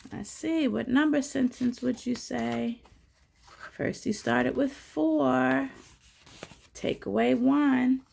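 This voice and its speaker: A woman teacher reads a bedtime story to young children in a slightly high voice with heavy creak. Her delivery is slow and expressive. She puts simple questions to her little listeners in a coaxing way.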